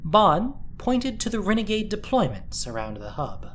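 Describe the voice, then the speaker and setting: A woman narrating a dramatic story from a script, expressive and deliberate in her telling. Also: medium pitch, a little hiss or hum.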